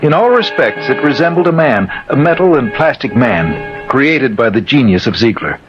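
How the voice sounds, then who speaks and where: An actor playing a wary, sober scientific colleague who also narrates, grave and hesitant, in a 1950s radio drama. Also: male voice, medium pitch, sing-song, moderate pace, lightly acted. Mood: grave narration. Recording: muffled old radio with music behind.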